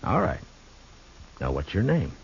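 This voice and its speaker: An actor playing a firm, patient frontier marshal with an American accent in a 1950s radio Western, authoritative, dry and measured. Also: male voice, low voice, huge pitch swings, vocal fry, exaggerated voice. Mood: dry, questioning. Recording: muffled, hissy 1950s radio recording.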